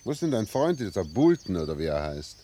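An actor playing a weary, suspicious and bitterly sarcastic husband in a German radio play. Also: male voice, sits low, big pitch swings, fairly quick, mellow. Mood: sarcastic, accusing.

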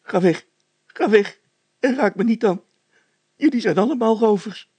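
A man voicing a stubborn, hot-tempered housekeeper woman in a storybook, shrill and vehement, repeating herself. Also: expressive, a little slow, dark tone, breathy edge, no room sound, full character voice. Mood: frightened, furious.

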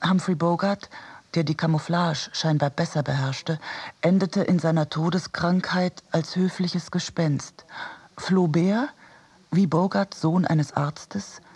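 A man reading literary radio prose in a measured, reflective manner. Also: fairly high pitch, darkish tone, husky. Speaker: narrator.

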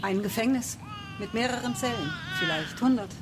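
A woman's voice: on the high side, normal speed, heavy background noise, close to an everyday voice. An actress playing a resolute woman leading a space colony in a radio play, insistent and earnest in standard German.

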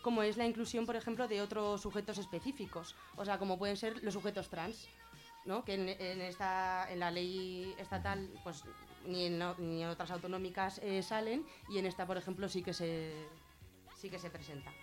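Woman explaining, clear music behind. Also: medium pitch, steady tone, normal speed. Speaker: guest commenting on gender violence law. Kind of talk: informal explanatory radio talk.

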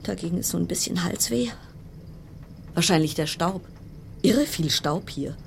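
An actress playing an uneasy, sardonic cruise ship passenger, narrating a German radio play in a wry, insistent way. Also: female voice, fairly low pitch, lively intonation, moderate pace. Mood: anxious, musing. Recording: clear background noise and ambience.

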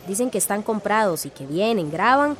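An actress playing a sensible, casual community video maker in a radio drama. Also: female voice, medium pitch, animated, moderate pace, lightly acted. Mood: cautious.

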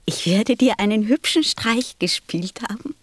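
Radio play role: gentle, wistful small boy, hushed breathy delivery, very dry sound. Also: medium pitch, animated, a little slow, no room sound. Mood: tender, consoling. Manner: tender, solemn, simply spoken. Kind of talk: German radio play acting.

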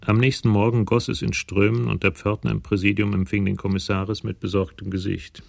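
A man narrating a scripted crime story in a radio drama, with a gravelly voice. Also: fairly low pitch, flat delivery, brisk, a little mellow, soft background music.

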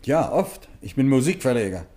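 An actor playing a cultured, high-strung music publisher under questioning in a German radio crime drama, precise and formal, in an echoing room. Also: male voice, sing-song, moderate pace, mellow, close to an everyday voice. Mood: formal, defensive. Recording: roomy echo.

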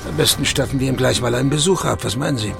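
A gruff police sergeant in a radio crime drama. The voice is extremely gravelly at a middling pitch, blunt and businesslike, with music underneath. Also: male voice, racing. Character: gruff, direct male police sergeant investigating a case.

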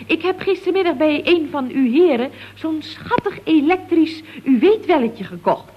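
A woman's voice: high-pitched, lively intonation, moderate pace, slight background noise. A woman playing a chatty, scatterbrained office worker in 1970s radio comedy, rambling along with giggly, naive earnestness. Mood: chatty, puzzled. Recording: dull, lo-fi old radio recording.

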